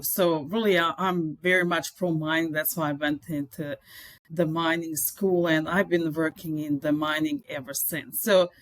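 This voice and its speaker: A woman's voice: sits low, dry recording, poor audio. A middle-aged woman from Serbia with a Serbian accent, speaking English as a second language and talking earnestly as a guest in a podcast interview. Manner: earnest, enthusiastic, with non-native phrasing. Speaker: mining executive guest.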